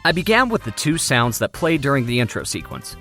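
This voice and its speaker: A man's voice: mid-range voice, big pitch swings, fairly quick. A man narrating his own video project from a script, comedic, with dry self-deprecating asides. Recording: music under the voice. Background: background music.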